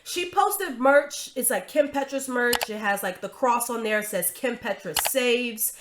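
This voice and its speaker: A woman's voice: on the high side, measured pace, background noise. A woman hosting a commentary video, talking casually with a stuffy nose from a cold, emphatic and chatty with frequent tag questions.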